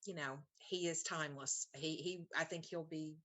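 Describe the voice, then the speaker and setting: Female teacher in relaxed conversation, notably low voice. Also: moderate pace. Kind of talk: casual conversation.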